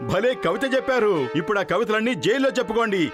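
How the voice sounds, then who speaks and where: A man's voice: high-pitched, rapid-fire, lightly acted. A man voicing a bumbling, cheeky hero in an exaggerated cartoon dub. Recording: loud music over the voice.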